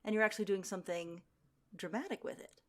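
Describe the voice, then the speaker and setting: A woman with a medium-pitched voice co-hosts a podcast. She talks casually and conversationally as she introduces and questions a guest. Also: fast talker. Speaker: podcast co-host.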